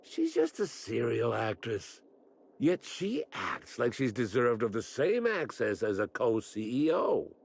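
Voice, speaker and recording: Crotchety old botanist, wildly animated and gravelly. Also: male voice, medium pitch, slow, heavily acted. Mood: indignant. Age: older. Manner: grumbling, rambling, theatrical. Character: crotchety, eccentric old botanist, rambling and morbidly blunt. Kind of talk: video game character acting.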